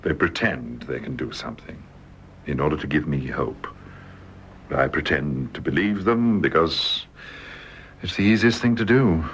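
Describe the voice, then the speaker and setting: A British actor in a radio drama playing a bedridden husband, devoted, wistful and resigned, speaking earnestly and haltingly. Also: male voice, a bass voice, a bit bright. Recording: dull lo-fi radio drama with hiss.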